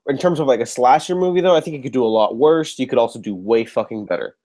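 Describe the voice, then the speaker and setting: A man with a slightly nasal voice from a lingering cold hosts a movie podcast at mid pitch. He chats loosely, restarting sentences and swearing casually. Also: expressive, quick pace, warm tone. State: getting over a cold, a bit nasal. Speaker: podcast host.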